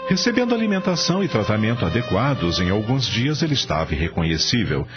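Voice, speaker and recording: Deep, expressive male radio-drama narrator. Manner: measured, expressive storybook delivery. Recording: dull lo-fi audio with music behind.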